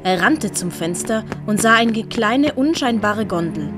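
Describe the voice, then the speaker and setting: A woman's voice: medium pitch, animated, unhurried. A woman reading scripted story narration as the narrator of an audio play. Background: music.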